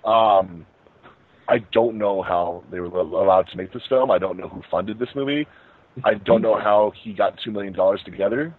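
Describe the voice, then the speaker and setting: A man's voice: on the low side, moderate pace. A man hosting a casual group film review chat over a narrowband internet call. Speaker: podcast host.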